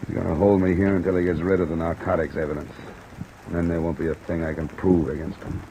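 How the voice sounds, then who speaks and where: Deep-voiced hard-boiled American radio detective. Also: male voice, flat delivery, quick pace, mellow, rough recording. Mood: bitter, frustrated. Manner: terse, hard-boiled delivery. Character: tough, weary male insurance investigator narrating his case. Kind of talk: 1950s American detective radio drama acting. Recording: old radio recording under rain noise.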